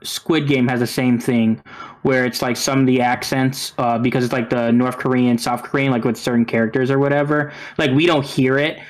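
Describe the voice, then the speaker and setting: A man chatting as a podcast co-host, rambling loosely and hedging with plenty of fillers. His voice sits in an ordinary middle pitch. He sounds easygoing and unhurried. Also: fairly even intonation. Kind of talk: casual chat.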